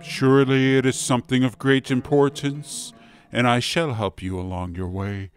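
A man's voice: mid-range voice, fairly even intonation, moderate pace, faint music behind, close to an everyday voice. A man voicing a genial young prince who speaks in a grand, courtly way.

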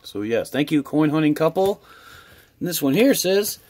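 Husky American man with a lively delivery. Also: on the high side, moderate pace. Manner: enthusiastic, appreciative. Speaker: coin hobby vlogger. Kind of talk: casual chat to camera while opening mail.

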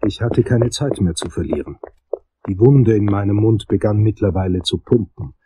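A man playing a hard-boiled investigator, narrating a crime audio drama in a husky, dramatic, suspenseful way. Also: on the low side, moderate pace, warm tone, low-quality recording. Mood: tense, brooding. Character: hard-boiled male investigator telling his dark case.

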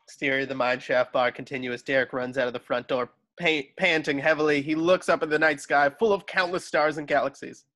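Man narrating, slightly high voice. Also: fairly even intonation, brisk, few pauses, a bit bright. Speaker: narrator of a table read. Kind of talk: reading screenplay stage directions aloud. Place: at home on a video call.

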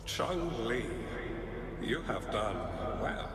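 A man voicing a solemn, sage master in an anime game, speaking in a measured, formal way. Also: mid-range voice, animated. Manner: measured, formal, archaic phrasing. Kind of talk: anime video game voice acting. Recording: loud game music over the voice.

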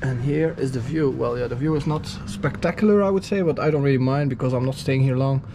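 A man's voice: medium pitch, fast. Chatty man vlogging, noisy handheld sound. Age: 30s. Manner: chatty, thinking aloud. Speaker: travel vlogger. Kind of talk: casual spoken-as-it-happens travel vlog narration. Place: city street, then hotel lobby, lift, corridor and room. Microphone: action camera held at arm's length. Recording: noisy handheld camera audio with street and room ambience.

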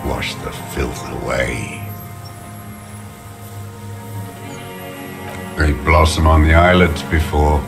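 An actor playing a bitter, contemptuous old deserter in a video game, gravelly and muttering with scorn. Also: male voice, a bass voice. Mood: bitter, wistful. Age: older. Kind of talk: dramatic video game voice acting. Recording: music under the voice. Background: background music.

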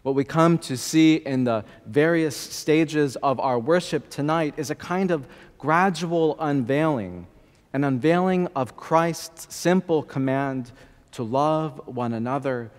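A young man preaching a sermon as a priest, slow and measured, with deliberate pauses, at a church lectern microphone. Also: mid-range voice. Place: large church, indoors. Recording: long church reverb.

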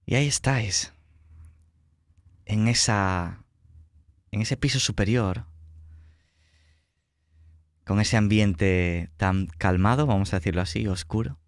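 A man with an accent from Spain, narrating a tabletop roleplaying game as game master in a measured, descriptive way. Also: low-pitched, no echo, studio quality. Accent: Spanish (Spain). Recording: music behind the voice.

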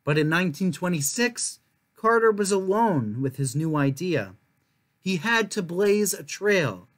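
A man's voice: high-pitched, fairly bright tone. A young adult man reading aloud as a children's storytime host, with careful, emphatic delivery. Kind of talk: reading a picture book aloud to viewers.

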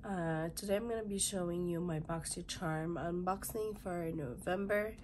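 A woman in her thirties, hoarse and raspy from a cold, chatting through a beauty unboxing and reading product labels aloud in a halting way, in a small room. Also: on the low side, a little mellow, a little hiss or hum. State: hoarse, congested from illness. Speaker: beauty vlogger. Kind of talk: casual unboxing chat. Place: small bedroom with fluffy chair, indoors.

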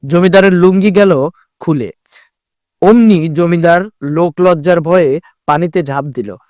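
A man reads scripted cartoon narration as a storyteller. His voice sits fairly high for a man. The sound is dry, without room echo. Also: measured pace, darkish tone.

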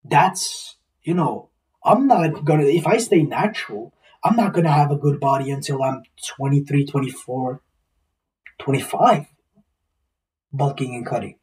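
A man's voice: mid-range voice, unhurried, a little mellow. Man around twenty, mid-range voice, musing and repetitive, recorded slightly distant in a small room. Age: 20s. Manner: rambling, repeats himself, swears. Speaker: vlogger. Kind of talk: reflective casual monologue. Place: small plain indoor room.

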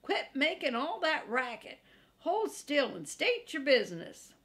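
A woman voicing a gruff, bossy male ranch dog in a storybook, with an impatient, folksy, commanding manner. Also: sits high, sing-song, unhurried, fairly bright tone, pitched above the performer's own voice, exaggerated voice.

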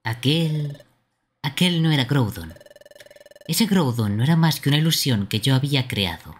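A man voicing a solemn, easily offended mystical lake guardian in a game, formal, measured and theatrical, with a husky voice. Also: mid-range voice, animated, close to an everyday voice. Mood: stern.

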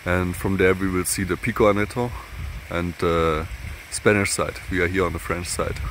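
A young man from Germany talking to his phone camera outdoors in the mountains, in a relaxed, halting way. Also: sits low, background noise. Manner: relaxed, halting, plain-spoken. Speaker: hiking vlogger. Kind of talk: casual vlog narration. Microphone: phone at arm's length.

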